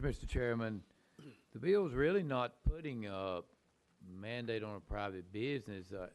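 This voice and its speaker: A man serving as a state senator argues his point in committee, earnest and plain-spoken. His voice is smooth and clear and sits a little low. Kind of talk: committee debate.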